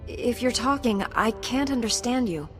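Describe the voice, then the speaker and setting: A woman's voice: fairly high pitch, animated, unhurried, over music. A woman playing a composed, polite, helpful female knight hero in a video game.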